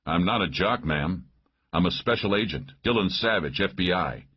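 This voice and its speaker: Male reader as a composed, commanding special agent. Audiobook, low register, slightly dull recording. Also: animated, normal speed. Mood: calm, firm. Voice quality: deep, smooth delivery. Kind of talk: audiobook character voice.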